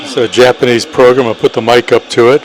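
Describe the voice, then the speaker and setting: A man's voice: medium pitch, steady tone, fast talker. A man giving a casual, enthusiastic product demonstration in short plain phrases, as an amateur radio operator. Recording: noisy, radio static and hum.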